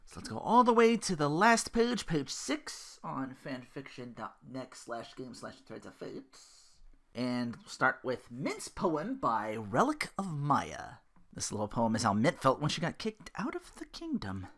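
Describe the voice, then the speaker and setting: A podcast host reading fan fiction aloud in an animated, expressive way. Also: medium pitch, a little slow. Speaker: host and voice performer.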